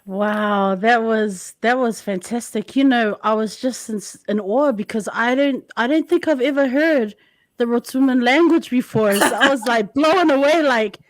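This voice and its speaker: A woman's voice: fairly high pitch, moderate pace, no room sound, rough recording. A woman podcast host and educator, interviewing with enthusiastic, casual chat.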